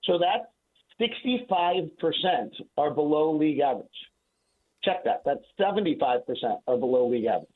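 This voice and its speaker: A man's voice: fairly high pitch, expressive, moderate pace. A man giving conversational sports analysis on the radio as a guest, emphatic and argumentative, repeating himself for stress. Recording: tinny phone-like line.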